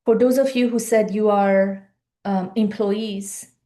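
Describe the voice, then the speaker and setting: A woman's voice: medium pitch, fairly even intonation, takes it slowly, warm tone. A woman, a coach giving a live online talk in an earnest, urging way full of rhetorical questions. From Iran. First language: Persian.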